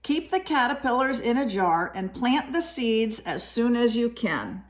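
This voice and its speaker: A woman voicing a caring, helpful koala in a children's storybook, with gentle, expressive reading. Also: a little high, a little slow. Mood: caring, reassuring.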